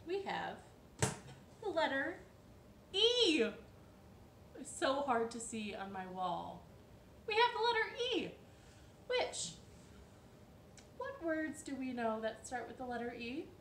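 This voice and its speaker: Young female storytime host, expressive and playful, highly varied pitch, recorded at home with some hiss. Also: slow pace, pauses often. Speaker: children's librarian storytime host. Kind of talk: children's storytime read-aloud. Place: living room at home. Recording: roomy echo, some background hiss.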